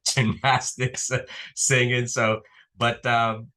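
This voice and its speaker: A man's voice: medium pitch, little pitch movement, very slow, pushed and bright. A man whose first language is Italian, a filmmaker and actor, who answers interview questions in a casual, hesitant way with frequent laughs. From Italy. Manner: laughs often, hesitant with fillers.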